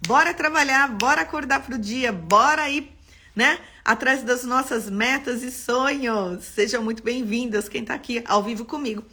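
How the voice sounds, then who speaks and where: Woman, Paulista accent, architect hosting a live lesson; bright, expressive delivery. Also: a little high, moderate pace. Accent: Paulista Brazilian Portuguese. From São Paulo, Brazil. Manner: expressive, greets viewers by name. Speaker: architect teaching a live class.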